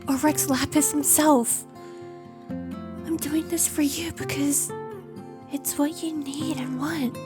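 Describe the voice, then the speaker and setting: Woman acting a high-voiced, stubborn young heroine. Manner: earnest, light but firm. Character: stubborn, devoted young woman, bold and loving. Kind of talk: fan audio drama acting.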